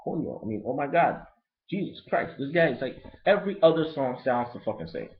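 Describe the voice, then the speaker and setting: A man with a Haitian accent who grew up in Brooklyn, ranting bluntly and profanely in a casual, rambling album review. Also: brisk. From Brooklyn, New York. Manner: profane, blunt and rambling, lively pitch swings. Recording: lo-fi dull recording.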